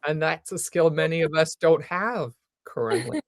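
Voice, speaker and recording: A woman's voice: deep voice, expressive, moderate pace, no echo, clean studio sound. A woman hosting a live interview before an audience, with an effusive, exclaiming manner. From military family, lived partly in Germany as a child. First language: German. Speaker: talk show host.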